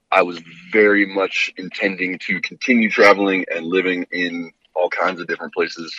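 Deep, bright-voiced man telling his story. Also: husky, no echo, highs cut off. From Hawaii. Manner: long-winded, rambling. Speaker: podcast guest. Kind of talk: casual long-form storytelling.

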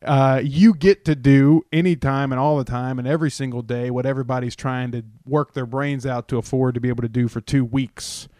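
Smooth-voiced man interviewing. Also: medium pitch, fairly quick, few pauses, no room sound, studio quality. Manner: rambling, animated questions with restarts. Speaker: podcast host. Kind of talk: conversational interview.

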